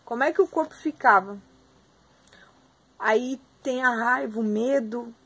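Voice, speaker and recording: A young Brazilian woman lectures her students online, her voice hoarse and husky from strain. Her pitch sits a little high for a woman, and her words come out breathy. She fills the pauses with hesitations and checks in with the class. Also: fairly bright tone. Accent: Brazilian Portuguese. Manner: filler-heavy, addresses students directly. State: hoarse, clearing her throat. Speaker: psychopedagogy student teaching a class. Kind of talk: online lecture reading from a textbook.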